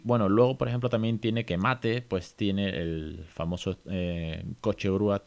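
Low-voiced chatty host. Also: male voice, darkish tone. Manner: chatty, many fillers, asks listeners questions. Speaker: podcast host. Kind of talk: casual educational podcast talk.

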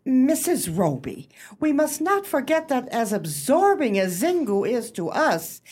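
A prim club hostess played by an actress in a radio play, her high voice swinging widely. She is formal and genteel, anxiously fussing to keep things proper. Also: big pitch swings, measured pace, a little mellow, breathy edge, exaggerated voice. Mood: prim, insistent.

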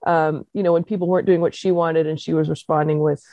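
A woman's voice: low-pitched, little pitch movement, fast talker, mellow, dry recording. A woman calling in to a fan talk show, chatting casually and thinking aloud over a dull, lo-fi line.

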